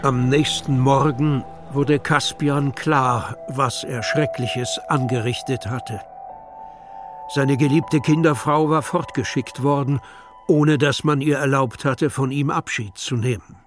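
A man's voice: medium pitch, steady tone, slow pace. A man narrating a children's radio play as a scripted story. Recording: music under the voice.

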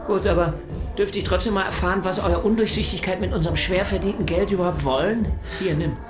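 An actor playing a brash, gleeful club partner in a radio drama, talking in a mock-formal, theatrical way. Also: male voice, high-pitched, fast, over music, natural voice. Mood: mock-pompous.

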